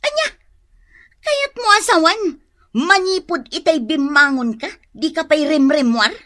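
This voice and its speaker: A woman's voice: high voice, animated, measured pace, bright, forceful tone, full character voice. A woman playing a nagging, exasperated wife who scolds and complains in a comedy radio drama.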